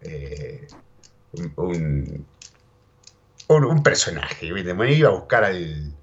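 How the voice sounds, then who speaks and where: Argentine man, very low voice, meandering anecdotes, muffled audio. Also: sing-song, takes it slowly, slightly rough. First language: Spanish and English, bilingual. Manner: rambling, repeats words, keeps saying ¿viste?. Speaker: interview guest. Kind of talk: casual storytelling chat. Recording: dull lo-fi audio.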